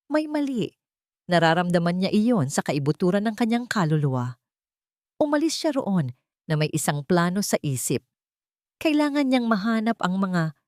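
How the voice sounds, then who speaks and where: A woman with a fairly low voice tells a dramatic story in measured, suspenseful phrases. Her intonation moves up and down expressively, and the recording is dry and close, with very little room sound. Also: a little mellow, no room sound. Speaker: narrator of audio love stories. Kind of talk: dramatic scripted story narration.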